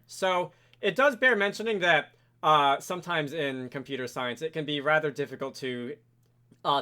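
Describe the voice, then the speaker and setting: Male tutorial presenter, slightly higher-pitched and bright, informal and explanatory, talking viewers through code. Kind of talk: casual screen-recorded coding tutorial.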